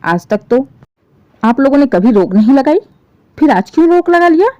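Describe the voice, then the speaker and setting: A woman puts on a worried, protesting mother from an audio family drama. Her voice is high and very animated, plaintive and questioning as she pleads for her married daughter. The recording is close and dry. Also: moderate pace, no echo, highs cut off. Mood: upset, protesting.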